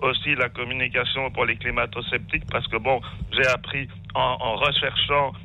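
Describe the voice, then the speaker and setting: A man's voice: medium pitch, little pitch movement, normal speed, vocal fry, phone-quality sound. A man, rambling hesitantly and repeating words as he phones in to a radio show. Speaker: phone-in caller. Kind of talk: spontaneous radio call-in remarks. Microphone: telephone call.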